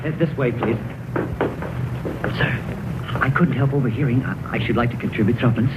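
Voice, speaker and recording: An actor playing a meek, kindly poor clerk who is deferential, apologetic and hesitant, in 1930s radio acting style. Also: male voice, mid-range voice, normal speed, natural voice. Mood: polite, timid. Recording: noisy, phone-like 1930s radio broadcast.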